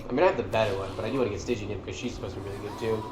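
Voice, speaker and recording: A man's voice: fairly low pitch, steady tone, racing, heavy background noise. A man giving casual, informal commentary on game cutscenes, talking like an enthusiastic fan. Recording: roomy echo, music behind. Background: game cutscene music.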